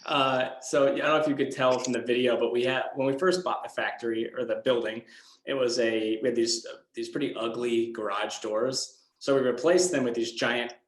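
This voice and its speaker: A man's voice: mid-range voice, fairly even intonation, fairly quick. A man speaking casually as a guest answering children's questions, in a plain, hesitant, self-deprecating way. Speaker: guest speaker from an eco products company. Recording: echoey room sound.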